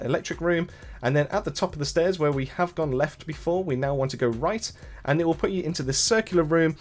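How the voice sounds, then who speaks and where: A man narrates a casual video game walkthrough as a channel host. His voice sits a little higher than average and he talks at a rapid clip. He explains in a wordy way, often repeating and rephrasing himself. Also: a little hiss or hum.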